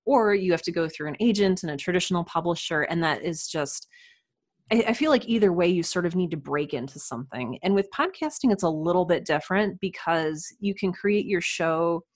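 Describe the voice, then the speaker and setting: A woman's voice: on the low side, animated, fairly quick, no echo, studio quality. A woman speaking as a podcast guest in casual interview conversation, reflective and earnest.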